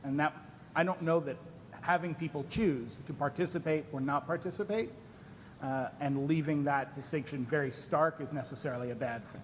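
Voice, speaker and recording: Man answering questions in reverberant hall. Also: normal speed. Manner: hedging, nuanced, conversational. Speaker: advocate answering commissioner questions. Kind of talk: unscripted answers at public hearing. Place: large indoor public hearing room. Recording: echoey hall, rumbling room noise.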